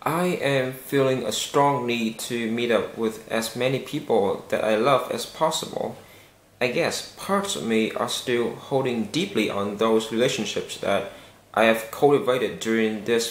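A young man whose first language is Vietnamese, talking slowly and earnestly to the camera in a casual vlog. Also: medium pitch. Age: young adult. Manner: slow, careful and earnest, with some hesitations. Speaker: vlogger. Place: small indoor room with a bare wall. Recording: echoey room.

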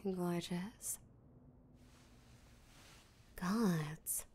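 Slow, creaky, seductive vampire voiced by a woman. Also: medium pitch, dark tone, exaggerated voice. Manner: breathy, teasing, flirtatious, slow and deliberate. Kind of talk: intimate roleplay audio drama, spoken to the listener.

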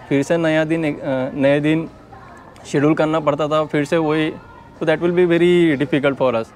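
A man's voice: a little high, darkish tone. A man in his thirties with an Indian accent, explaining things step by step in a casual vlog, filmed in a big store aisle with a small clip-on mic. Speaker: vlogger. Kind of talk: casual vlog talk mixing Hindi and English.

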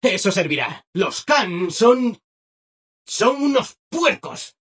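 A man's voice: sits high, very animated, slow pace, fairly bright tone, slightly rough, heavily acted. A male actor with a Castilian Spanish accent playing a relentlessly cheerful, over-obliging robot assistant in a video game, chirpy and eager to please. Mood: obliging, passive-aggressive. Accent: Castilian Spanish from Spain. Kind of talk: animated video game character acting.

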